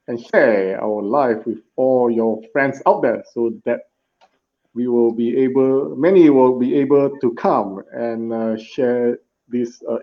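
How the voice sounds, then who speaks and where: A man hosting a live online interview session, speaking slowly and earnestly with many "uh" fillers and restarts. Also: medium pitch. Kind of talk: scripted live-stream introduction and interviewing. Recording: muffled lo-fi live-stream audio.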